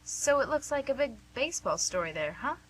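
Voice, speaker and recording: A woman's voice: high voice, very animated, fairly quick, fairly bright tone. A woman playing a cheerful, probing, slightly fumbling nurse in a radio drama, speaking in a coaxing, conversational way. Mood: upbeat, probing. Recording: noisy amateur recording with faint hum.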